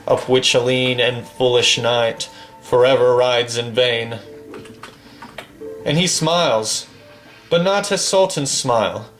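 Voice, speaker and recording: Slow, declaiming man reciting poetry. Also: medium pitch. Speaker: poetry reader and gamer. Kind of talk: poem recited from memory over gameplay.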